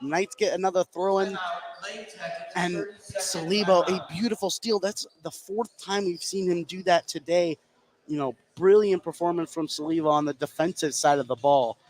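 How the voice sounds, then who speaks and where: A man's voice: high-pitched, moderate pace, a little mellow. A man giving conversational, reflective color commentary and analysis on a live basketball game.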